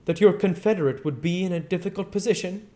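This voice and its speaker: A man voicing a wary gentleman in a storybook reading, protesting earnestly and reasoning with urgency. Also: sits high, fast, voice pushed up, close to an everyday voice. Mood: uneasy.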